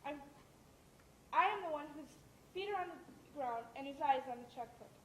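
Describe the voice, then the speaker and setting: A woman's voice: high-pitched, lively intonation, moderate pace. A woman playing a playwright's anxious, protective and outspoken wife in an amateur stage play, speaking emphatically. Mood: insistent. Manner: emphatic, insistent. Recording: echoey stage recording, clear noise.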